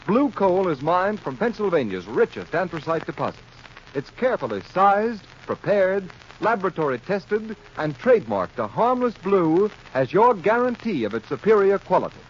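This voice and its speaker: A muffled vintage broadcast of an American man announcing. His voice is slightly high and very lively, delivering scripted copy with formal emphasis in thirties radio style. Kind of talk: 1930s radio announcing, scripted advertising and narration. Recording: muffled, noisy 1930s radio recording.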